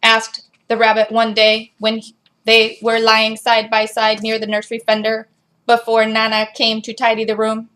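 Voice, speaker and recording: A woman's voice: fairly high pitch, monotone, moderate pace, sharp tone. A woman voicing a robot that reads a children's storybook aloud in a robotic delivery. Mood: mechanical, measured. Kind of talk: scripted storybook narration.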